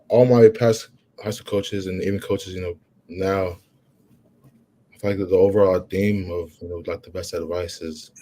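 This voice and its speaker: A young man, a college football player, answering interview questions in a halting way, with many "you know" fillers and restarts. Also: low-pitched, warm tone. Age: young adult.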